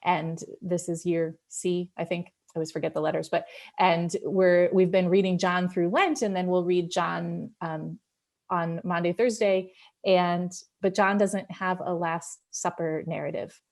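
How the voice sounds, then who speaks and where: Lower-voiced woman leading a Bible study. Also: steady tone, measured pace, a little mellow. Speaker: group leader and pastor of a church Bible study. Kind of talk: casual Bible study discussion.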